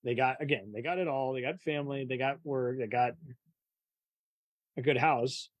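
A man's voice: mid-range voice, moderate pace, no room sound, lo-fi sound. A man hosting a casual interview, talking informally with rambling questions. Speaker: interviewer, host.

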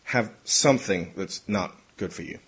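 A man's voice: lowish voice, normal speed, slightly rough. A man playing a brash, sardonic male intelligence chief in an audio drama, bossy and teasing. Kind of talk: hobby audio drama acting.

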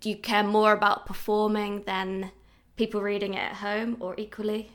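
A woman interviewing a guest in a relaxed conversation, asking her questions in a friendly, informal way. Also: medium pitch, normal speed.

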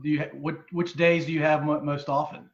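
Fairly high male co-host, dull sound. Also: little pitch movement, brisk. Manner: chatty, joking questions. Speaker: podcast co-host. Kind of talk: casual interview. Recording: dull lo-fi sound with faint noise.

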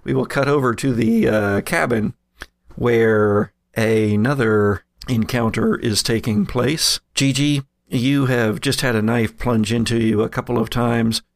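A man narrating an improvised tabletop game as game master, telling it in a halting, deliberate way. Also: medium pitch, gravelly, no room sound, clean studio sound.

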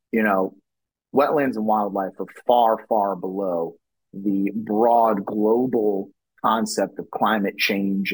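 A man's voice: fairly low pitch, breathy edge, no room sound, low-quality recording. A middle-aged man from Louisiana, a brand strategist talking in a podcast interview, rambling with many restarts and fillers.

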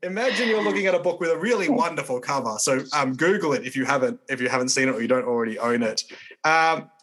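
A man's voice: medium pitch, expressive, fast talker, a bit bright. A man hosting a podcast interview in a conversational, rambling and hesitant way. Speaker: podcast host, church minister.

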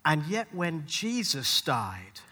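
High, bright-voiced man preaching in a hall. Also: huge pitch swings. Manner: rhetorical repetition and deliberate dramatic pauses. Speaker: pastor. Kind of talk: dramatic sermon with rhymed spoken-word passages. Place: large indoor venue, speaking live to a gathering. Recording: live hall with long reverb.

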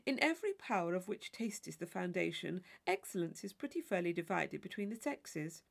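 A woman voicing a witty young gentleman in a storybook reading, articulate, arch and playfully mock-serious. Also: fairly low pitch, very animated, brisk, vocal fry, heavily acted. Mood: playfully earnest. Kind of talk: audiobook character dialogue.

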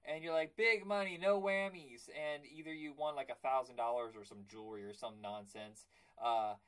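A man with a raspy voice hosting a casual cartoon podcast, telling stories and acting out quotes. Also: mid-range voice, moderate pace, low-quality recording. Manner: animated storytelling, acts out quotes. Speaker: podcast host. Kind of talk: casual chat.

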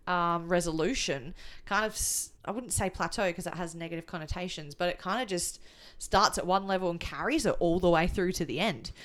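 A woman with a slightly low-pitched voice co-hosts a casual review podcast. She speaks informally and with enthusiasm, slipping in slang as she chats. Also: fairly bright tone. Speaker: podcast co-host. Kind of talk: casual film and TV review chat.

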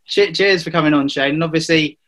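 A man's voice: fairly high pitch, fast, fairly bright tone. A man with a Welsh accent hosting a podcast, joking through his introductions and asking questions with a few hesitations. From Wales. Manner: jokey, hesitant with fillers. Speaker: podcast host. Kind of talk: casual podcast chat.

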